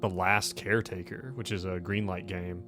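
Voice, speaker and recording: A man with a fairly low voice hosts a tabletop gaming podcast. He banters casually, teasing his friends and swearing freely, with faint music underneath. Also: little pitch movement, moderate pace, bright, forceful tone. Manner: teasing, profane. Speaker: game master and host of a tabletop podcast.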